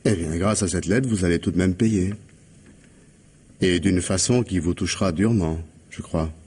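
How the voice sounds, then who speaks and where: An actor playing a stern, implacable police investigator in 1950s French radio mystery style, commanding and deliberate, with a husky voice. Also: male voice, deep voice, darkish tone. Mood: coldly triumphant. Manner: commanding, deliberate, articulate, dictating orders.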